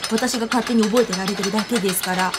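A woman's voice: medium pitch, fairly even intonation, fast, heavy background noise, lightly acted. A woman playing a feisty, bossy young woman in a comedic radio drama, teasing and pushy. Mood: huffy. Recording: music bed under dialogue. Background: music.

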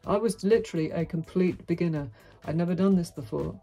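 Deep-voiced, husky middle-aged Englishwoman. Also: fairly quick, warm tone, soft background music. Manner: outspoken, frank, wry. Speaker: vlogger. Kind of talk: candid monologue to camera. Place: small dim indoor room with brick wall.

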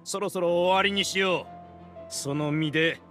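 An actor playing an arrogant mastermind villain in a video game, speaking with haughty, theatrical contempt. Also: male voice, high-pitched, unhurried, a bit bright, faint music behind. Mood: furious triumph. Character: arrogant villainous male mastermind ruling a hive mind. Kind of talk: Japanese video game voice acting.

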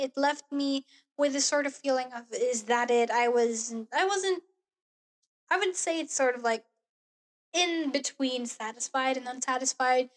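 A young woman vlogging in a small bedroom into a close studio mic, talking earnestly about a show with a hoarse voice. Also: high voice. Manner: gushing, earnest, gestures while talking. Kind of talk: casual review vlog. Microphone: studio mic on boom arm, close.

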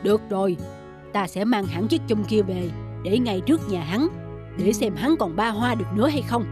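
Greedy, high-pitched cartoon schemer. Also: male voice, animated, moderate pace. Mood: spiteful, scheming. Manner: scheming, gloating. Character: greedy, sneaky, spiteful scrawny male villain. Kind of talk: exaggerated animated folk tale acting. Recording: music behind the voice.

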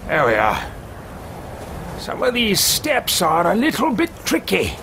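A man's voice: high-pitched, wildly swooping pitch, a little slow, sharp tone, slight background noise, voice pushed up, full character voice. A man playing a pompous, arrogant mage. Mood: haughty. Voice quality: pompous, grandiose. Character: arrogant, self-absorbed male mage adventurer. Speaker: let's play host roleplaying a character. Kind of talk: in-character roleplay over a video game. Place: room at home, indoors.

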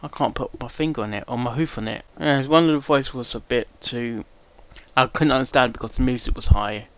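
A man's voice: medium pitch, fairly quick. Rambling man on a dull lo-fi mic. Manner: rambling, hesitant, with restarts and jokes. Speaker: reaction video host. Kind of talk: casual reaction commentary.

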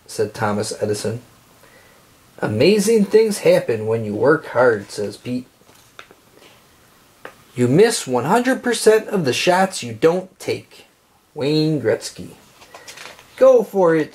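Higher-pitched man reading aloud with lively intonation. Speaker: children's book reader. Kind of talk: read-aloud of a children's picture book.

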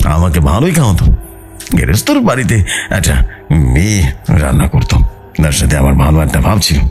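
A man's voice: low voice, darkish tone. A man narrating a horror story in the first person, in a dramatic storytelling style, as the narrator of an audio story.

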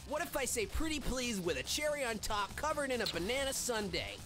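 A man's voice: very high-pitched, very animated, fairly quick. A man voicing a cheeky, pestering young hedgehog hero in a comedic game fandub, playful and full of goofy puns. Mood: wheedling. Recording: game music behind the voice.

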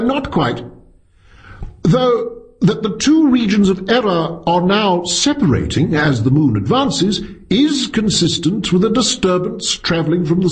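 A man's voice: high-pitched, pitched above the performer's own voice. A man voicing an intense, reedy philosophy professor who lectures with smug energy, in an audiobook story. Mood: precise, confident.

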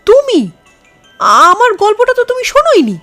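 A cocky, amused male visitor acted out by a woman in an audio horror story. The voice is high and very animated, with a breezy, patronising delivery. Soft music plays underneath. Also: moderate pace, full character voice. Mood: amused, surprised.

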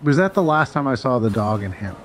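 A man's voice: mid-range voice, big pitch swings, brisk, natural voice. A man playing a jaded, dismissive spacer chatting bluntly and offhand in an audio drama. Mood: casual. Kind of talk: casual dialogue in an audio drama.

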